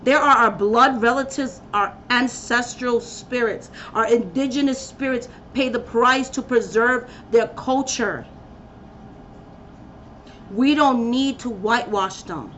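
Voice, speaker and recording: Brief: woman with a fairly high voice, emphatic and rallying, blunt preacher-style delivery. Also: slow pace, fairly bright tone, highs cut off, slight background noise. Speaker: spiritual practitioner giving a talk. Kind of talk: impassioned personal rant to camera.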